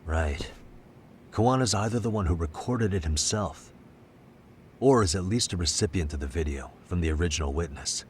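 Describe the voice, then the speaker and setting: A man voicing a calm deep-voiced detective. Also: moderate pace, a little hiss or hum, close to an everyday voice. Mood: thoughtful. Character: calm, analytical adult male detective. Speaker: detective lead. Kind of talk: video game voice acting.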